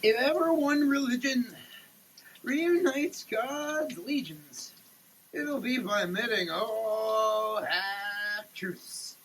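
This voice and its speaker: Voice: mid-range voice, drawn-out words, fairly bright tone, slight background noise, poor audio. A person giving a theatrical, declamatory dramatic reading of printed text. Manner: theatrical, declamatory, with muttered asides. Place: shower.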